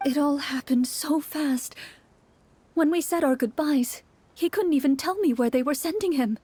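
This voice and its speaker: A woman voicing a troubled townswoman, a worried wife who speaks politely, softly and hesitantly. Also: high voice, moderate pace, no room sound, voice pushed up, lightly acted. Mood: anxious, wistful. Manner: hesitant, soft-spoken, polite.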